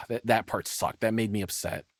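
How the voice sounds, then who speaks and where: Male streamer, lowish pitch, informal rambling opinions, close dry sound. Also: steady tone, normal speed, no echo. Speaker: reaction streamer. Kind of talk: casual spoken reaction commentary.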